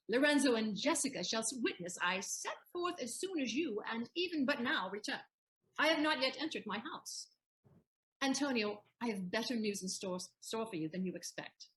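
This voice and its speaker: A woman playing a proud, sharp-witted wife in a Shakespearean audio play, teasingly indignant in declamatory verse. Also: a little high, rough recording. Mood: composed, revealing.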